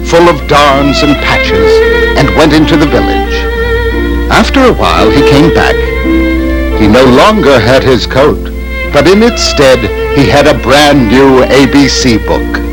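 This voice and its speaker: Guy narrating a kids fairy tale, very expressive, mid pitch, big music behind him. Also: unhurried, poor audio. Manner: dramatic, expressive. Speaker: storyteller. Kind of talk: scripted children's story narration.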